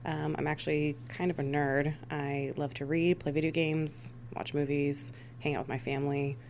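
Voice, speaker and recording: A woman's voice: deep voice, little pitch movement, normal speed, darkish tone, slight background noise. A woman from the United States giving conversational answers as an emergency dispatch supervisor being interviewed. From United States (Missouri and Rhode Island).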